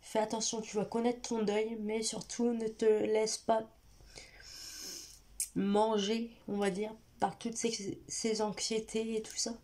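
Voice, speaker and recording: A woman's voice: a little high, little pitch movement, takes it slowly, warm tone. A young woman vlogging with a stuffy nose from a cold, talking hesitantly and directly to her viewers with many fillers and restarts. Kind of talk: personal confessional vlog talk.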